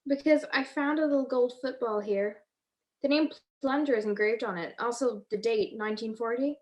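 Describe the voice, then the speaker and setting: A young woman playing a wry, jealous, sharp-witted detective's wife in a radio drama, with an arch, teasing manner. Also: on the high side, moderate pace, natural voice. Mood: curious. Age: young adult.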